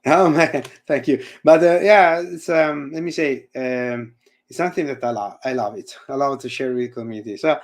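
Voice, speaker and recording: A man's voice: medium pitch, normal speed. A man from Italy with an Italian accent, presenting on a video call, searching for words with many fillers and restarts. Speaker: guest presenter and university lecturer. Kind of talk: casual chat and live coding demo on a video call. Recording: audio fading in and out.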